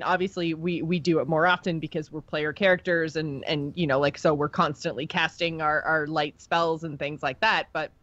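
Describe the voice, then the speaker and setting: A woman's voice: mid-range voice, a bit bright, no echo, highs cut off. A woman co-hosting a gaming podcast, talking casually and with an informal fan's enthusiasm. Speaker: podcast co-host. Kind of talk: casual chat about video game lore, reading listener email.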